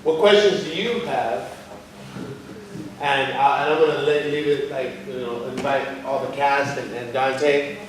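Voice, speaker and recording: A man's voice: mid-range voice, unhurried. Man moderating in a very echoey hall. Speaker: discussion moderator. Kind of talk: informal audience Q&A. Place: large echoey indoor arts venue. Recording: very echoey hall, distant mic, noisy.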